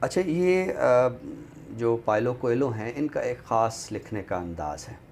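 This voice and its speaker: A man with a Pakistani accent discussing books in a deliberate, scholarly way, often pausing mid-sentence. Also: mid-range voice, lively intonation, creaky, a little mellow. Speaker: literary show presenter. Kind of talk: book discussion in Urdu literary talk. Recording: noticeable background noise.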